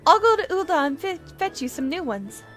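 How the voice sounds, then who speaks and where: A woman's voice: extremely high pitch, very animated, brisk, pitched above the performer's own voice. A woman voicing an earnest, caring young female assistant in a video game, eager and dutiful. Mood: earnest, concerned. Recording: music behind the voice.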